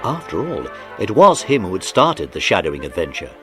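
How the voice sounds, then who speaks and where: A man narrates a children's story in a fairly low voice. His scripted delivery is lively and expressive, with faint music behind it. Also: fairly quick.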